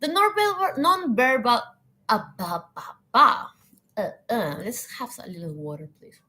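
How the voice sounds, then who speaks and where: A woman whose first language is Spanish, giving earnest leadership advice in English with pauses and restarts. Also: on the high side, expressive, bright, forceful tone. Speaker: hospitality leadership coach. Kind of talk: talking to camera.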